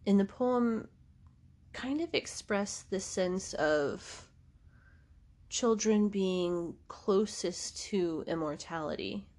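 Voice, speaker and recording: A woman's voice: on the low side, darkish tone. A woman, hosting a podcast in a casual, rambling monologue, congested from allergies and laughing often. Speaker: podcast host.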